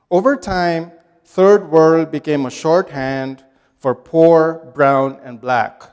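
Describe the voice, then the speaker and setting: A man's voice: fairly high pitch, darkish tone. A man from Nepal giving a prepared, reflective talk to a university audience in a lecture hall. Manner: measured, reflective storytelling. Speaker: guest speaker. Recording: reverberant hall.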